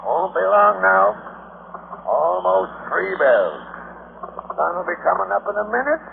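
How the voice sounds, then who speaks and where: Gravelly, menacing radio sea captain. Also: male voice, sits high, sing-song, a little slow, exaggerated voice. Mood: gloating. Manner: barking, salty sailor's vernacular, menacing. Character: greedy, murderous, gruff old-time sea captain. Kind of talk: 1940s radio thriller acting. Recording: scratchy, tinny, noisy 1940s radio recording.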